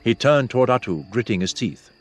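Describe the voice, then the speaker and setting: Lively male fantasy audiobook narrator. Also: mid-range voice, a little fast, husky. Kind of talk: scripted fantasy novel narration. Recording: soft music behind the voice.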